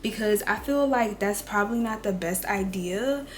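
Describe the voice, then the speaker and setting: Hoarse young woman giving chatty advice. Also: mid-range voice, normal speed, slight background noise. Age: 20s. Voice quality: raspy, rough. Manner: chatty, direct, addresses viewers as y'all and girl. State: hoarse from a cold. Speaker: beauty and lifestyle vlogger. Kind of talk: casual girl-talk advice.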